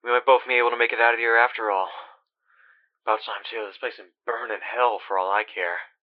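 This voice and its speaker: An actor playing a guilt-ridden, trapped dead man in a horror game, talking in a halting, weary, confiding way with swearing, like a desperate older brother. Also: mid-range voice, flat delivery, dry recording, phone-quality sound, lightly acted. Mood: tense, urgent. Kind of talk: horror game phone-call voice acting.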